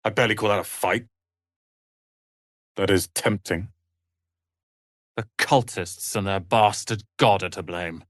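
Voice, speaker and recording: An actor playing a proud, bitter young tiefling wizard in a video game, speaking in a haughty, theatrical, sardonic way. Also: male voice, on the low side, a little slow, pauses often, dry recording, studio quality, lightly acted. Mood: grudging, sardonic. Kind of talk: video game character acting.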